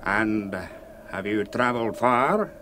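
An actor playing an older, hospitable but probing Scottish island minister in a radio drama, speaking with courteous hesitations. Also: male voice, fairly low pitch, animated, slow, bright, forceful tone, a little hiss or hum, exaggerated voice. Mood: courteous, solicitous.

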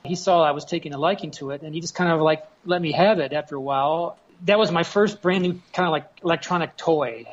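A man's voice: on the high side, quick pace, hardly any pauses, highs cut off. An American man in his fifties reminiscing casually as a podcast guest, heard over an internet call. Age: 50s.

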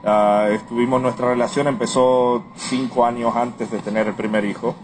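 A man answering interview questions in measured, formal wording. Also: mid-range voice, flat delivery. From Puerto Rico. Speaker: survey respondent. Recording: noisy on-location interview recording.

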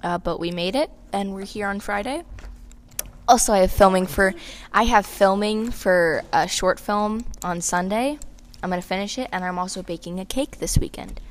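A playful teenage girl chats on a podcast, poking fun at herself and joking with her co-host. Her voice is at an ordinary pitch, with a faint hum in the background. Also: normal speed. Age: teens. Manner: playful, self-mocking, chatty. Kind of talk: casual chat with a friend.

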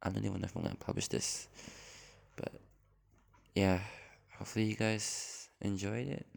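A man's voice: lowish voice, steady tone, normal speed, gravelly. A man from Indonesia, introducing his podcast in a hesitant, apologetic, rambling way full of fillers, recorded on a phone at home. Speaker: podcast host. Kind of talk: casual unscripted introduction.

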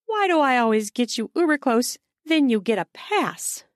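A woman's voice: high-pitched, very animated, normal speed, no echo, clean studio sound, voice pushed up. A woman voicing a lustful, impatient woman who whimpers, pleads and pouts in an audiobook romance. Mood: pouting, impatient. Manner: breathy whimpering and pouting.

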